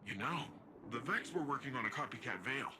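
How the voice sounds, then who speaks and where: An actor playing a brash, upbeat young hero in a video game, with casual, slangy, animated delivery. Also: male voice, mid-range voice, a little fast, background noise, lightly acted. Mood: eager, upbeat.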